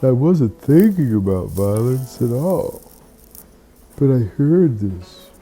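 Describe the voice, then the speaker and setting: A person telling a reflective bedtime story in a slow, halting, musing way, often repeating words. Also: mid-range voice, very animated, warm tone, slightly rough.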